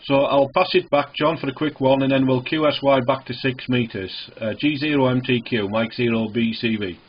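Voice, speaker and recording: A man speaking in a measured, matter-of-fact way as an amateur radio operator in a casual contact, heard over a radio. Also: mid-range voice, steady tone, a little hiss or hum. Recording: narrow, tinny phone-like radio audio.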